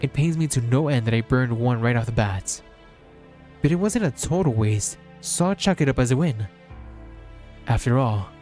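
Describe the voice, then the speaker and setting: Male streamer, mid-pitched and warm-toned, narrated read-aloud of a visual novel over background music.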